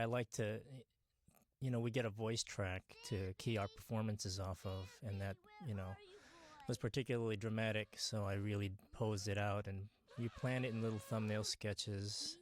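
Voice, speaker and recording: A middle-aged man speaks in a lowish voice. He sounds like a working animator on a commentary track. His talk is casual, earnest and explanatory.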